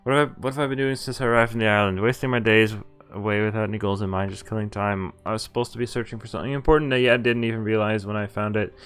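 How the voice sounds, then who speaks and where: A man's voice: on the low side, fairly even intonation, rapid-fire, few pauses, a bit bright, clean tone. A man streaming a visual novel, reading dialogue and narration aloud and casually acting out the lines. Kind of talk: live read-aloud of game dialogue and narration.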